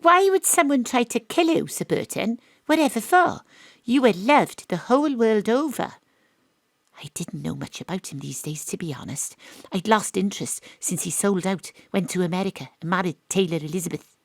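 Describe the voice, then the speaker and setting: Brisk private eye and narrator. Wry noir parody, animated intonation, dry studio sound. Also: female voice, medium pitch, no room sound. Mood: brisk questioning. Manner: deadpan noir-style narration, punchy questioning. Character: brisk, wry female private investigator narrating her case. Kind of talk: comic audio drama narration and acting.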